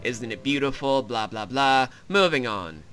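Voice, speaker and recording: A man's voice: mid-range voice, lively intonation, unhurried, a bit bright, clear, resonant tone. A man hosting a joking, crude and irreverent recap of a video game. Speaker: host, self-described comedic voice actor. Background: game music.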